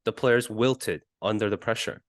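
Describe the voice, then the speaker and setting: Lowish voiced guy talking football, measured and a bit halting, dry close sound. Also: fairly even intonation, no echo. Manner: hesitant, measured, halting as he reasons. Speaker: podcast co-host. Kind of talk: casual football chat.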